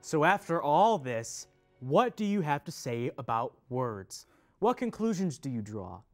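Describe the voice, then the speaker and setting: Man, animated intonation, staged scripted recitation, soft music behind. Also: a little high, a little slow. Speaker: readers theater performer.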